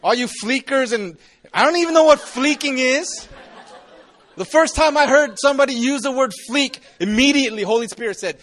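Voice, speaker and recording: A man's voice: very high voice, normal speed, a bit bright. A man in his forties, a campus pastor, giving a casual, joking youth sermon to a live audience. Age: 40s. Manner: chatty, jokey, restarts often.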